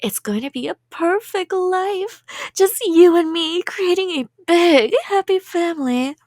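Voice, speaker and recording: A woman's voice: very high voice, lively intonation, measured pace, a little mellow, dry recording, clean studio sound. A woman playing a cheerful, delusional, obsessive kidnapper in a rambling ASMR roleplay, sweet and playful and drifting into whispers. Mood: dreamy, obsessed.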